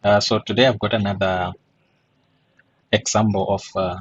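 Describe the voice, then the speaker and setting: A man with a hoarse, husky voice teaching a forex trading lesson over a chart, checking in with "right?" and asking rhetorical questions. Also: on the low side, flat delivery, moderate pace, no room sound, dull audio. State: voice still hoarse after a throat infection. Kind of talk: screen-share chart walkthrough lesson.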